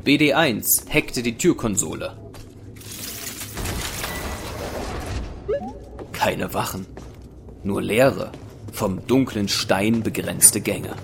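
A man narrating a space adventure audio drama as a serious storyteller, measured and dramatic. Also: on the low side, creaky, over music. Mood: measured, suspenseful.

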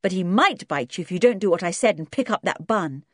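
A woman's voice: on the low side, animated, a little fast, dry recording, clean studio sound. A woman voicing a stern, protective young girl in a storybook, with a curt, icy manner. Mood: defiant.

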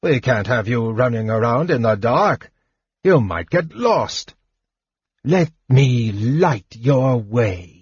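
A man voicing a huge, cruel dragon in a fantasy audiobook, mocking his prey in a booming, taunting delivery. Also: animated, slow, husky, dry recording, muffled recording, heavily acted. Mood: mocking menace. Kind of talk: dramatized audiobook fantasy narration.

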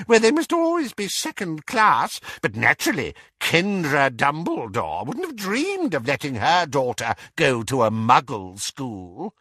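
Man putting on a shrill, cackling old witch. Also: bright, forceful tone, breathy edge, no echo, studio quality, full character voice. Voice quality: squawking, cackling. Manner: domineering, insinuating, talks over others. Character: cackling old witch, gleefully malicious gossiping aunt.

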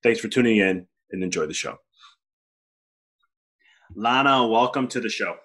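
A man's voice: lowish voice, measured pace, fairly bright tone. A man speaking as a podcast host, introducing the show and chatting with a guest in a conversational way. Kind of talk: podcast hosting, conversational interview.

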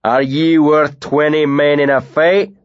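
A loud, swaggering mercenary with a thick northern accent, all mockery and menace, as a man puts him on. He drags out his taunts in a booming drawl. The sound is dry and a little muffled, like a home audiobook recording. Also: flat delivery, no echo, natural voice. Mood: blustering. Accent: northern English dialect, put on. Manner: mocking, swaggering, drawn-out. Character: loud brawny bearded male mercenary bully.

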